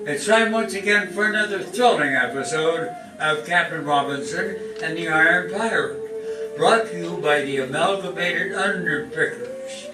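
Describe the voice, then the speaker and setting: A man's voice: on the high side, sing-song, a little slow, music in the background. Male serial announcer, vintage radio style, distant roomy stage mic. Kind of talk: 1950s radio show announcing.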